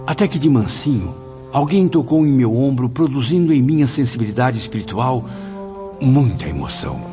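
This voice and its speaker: A man's voice: medium pitch, lively intonation, moderate pace, natural voice. A man playing a reflective, reverent spirit who narrates his afterlife memories in a radio drama, in a literary, emotive way. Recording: dull sound, music behind.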